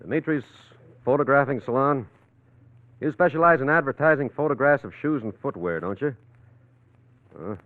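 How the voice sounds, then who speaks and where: A man playing a brisk, confident private detective in a 1940s radio drama, terse and breezy as he probes. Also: mid-range voice, no room sound, close to an everyday voice. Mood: smooth inquiring. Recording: tinny, narrow-band old radio recording.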